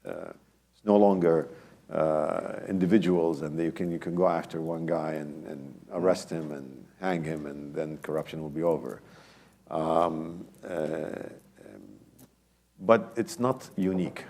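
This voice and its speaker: A man's voice: a bass voice, measured pace, vocal fry, a little mellow. A man speaking as a panelist in a conference discussion, emphatic and talking in bursts with many restarts.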